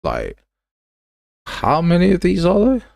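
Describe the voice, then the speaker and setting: A man's voice: high-pitched, wildly swooping pitch, takes it slowly, gravelly, darkish tone, dry recording. A man hosting a casual livestream, grumbling and thinking aloud as he goes. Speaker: livestream host. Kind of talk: casual livestream commentary while browsing software assets.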